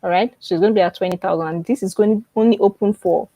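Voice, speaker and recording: A woman's voice: mid-range voice, fast talker, warm tone, no echo. A woman teaching and promoting informally on a live webinar, often repeating phrases and checking in with her viewers. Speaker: online publishing coach hosting a live webinar.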